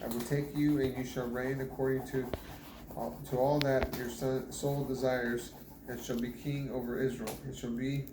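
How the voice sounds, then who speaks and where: Man reading scripture in a flat voice. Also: medium pitch, moderate pace. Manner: stumbles and restarts on hard names. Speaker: Bible reader. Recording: echoey room with background noise.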